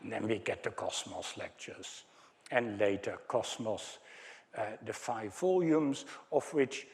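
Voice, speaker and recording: Older Dutch-accented male academic, slow, halting lecture delivery with wry asides. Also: lowish voice, expressive. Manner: hesitant, many fillers, wry asides to the audience. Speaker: academic speaker. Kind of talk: conference lecture with slides.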